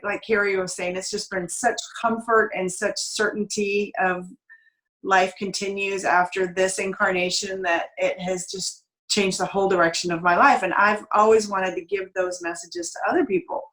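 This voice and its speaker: Female interview host, mid-range voice. Casual, earnest, conversational delivery with expressive phrasing. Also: medium pitch, low-quality recording. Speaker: show host.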